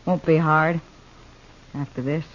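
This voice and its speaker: A woman's voice: deep voice, fairly even intonation, slow. Proud, wounded frontier woman. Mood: hurt, resolute. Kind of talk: 1950s radio western acting. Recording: dull old 1950s radio recording.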